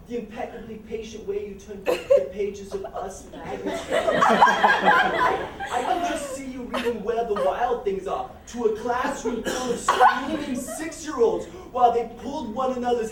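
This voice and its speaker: A man's voice: very high-pitched, very animated, unhurried, exaggerated voice. An actor playing an earnest, neurotic therapy patient in a comic stage play, awkward and hesitant as he confesses his feelings. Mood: nervous, smitten. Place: stage performance at a college, distant microphone. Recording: echoey hall from far off, noisy.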